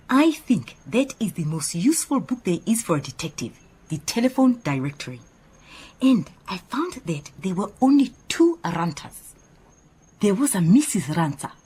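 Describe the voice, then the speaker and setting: Animated, opinionated woman assistant. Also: fairly low pitch, husky, full character voice. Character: earnest, opinionated female detective's assistant, proud and chatty. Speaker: actor in radio drama. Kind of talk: radio drama acting.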